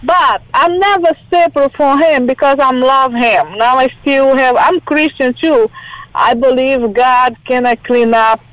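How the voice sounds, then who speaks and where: A woman from Brazil with a Brazilian Portuguese accent, a radio caller whose first language is Portuguese, speaking haltingly and searching for words. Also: high-pitched, dry recording, poor audio. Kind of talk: radio call-in.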